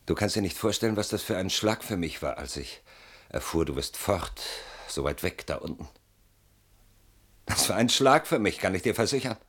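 An actor in a German radio play voicing an evasive, self-justifying man with a guilty conscience, halting and brooding, protesting in sudden outbursts. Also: sits low, expressive, a bit bright, breathy edge, exaggerated voice.

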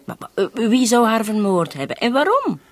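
A woman's voice: fairly high pitch, big pitch swings, normal speed. A woman acting a police commissioner's sceptical, teasing wife in a radio play, with a wry, questioning manner.

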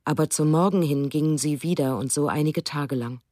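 A woman playing a quietly uneasy eyewitness in a radio drama, giving hushed, deliberate testimony. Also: low-pitched, no room sound, clean studio sound.

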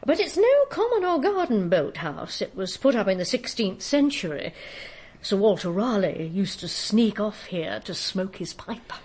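An actress playing a gossipy, brisk, wry middle-aged woman with an upper-class English accent in a radio drama. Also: medium pitch, big pitch swings, a little mellow, slightly rough. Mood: chatty, enthusiastic. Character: chatty, scatty, good-humoured English lady crime novelist.

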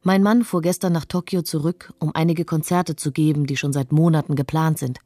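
Woman reading as an earnest wife, deep mellow voice. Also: fairly even intonation, fairly quick, no echo, clean studio sound. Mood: earnest, composed. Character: earnest musician's wife who believes art gives hope. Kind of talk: scripted first-person narration in a radio documentary play.

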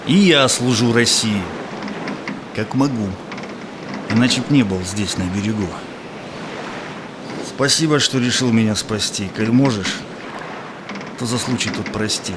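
An actor playing a proud, cynical Cossack robber in a rhymed verse audio play, declaiming emphatically. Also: male voice, lowish voice, a little slow, long pauses, background noise, lightly acted. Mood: grudgingly grateful.

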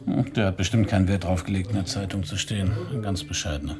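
An actor playing a hard-boiled, middle-aged private detective in a radio crime play, dry, laconic and slangy. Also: male voice, sits low, fairly even intonation, fast talker, over music, close to an everyday voice. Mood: dry, subdued. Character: hard-boiled, sardonic male Parisian private detective narrator. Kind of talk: noir radio play narration and dialogue. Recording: radio play, some background noise.

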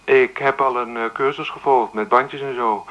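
A man's voice: medium pitch, steady tone, normal speed, dull audio, natural voice. An actor playing a curt, sneering man who phones about a small ad in a radio play, blunt and dismissive. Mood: haggling, curt. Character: curt, sneering male callers answering a small ad.

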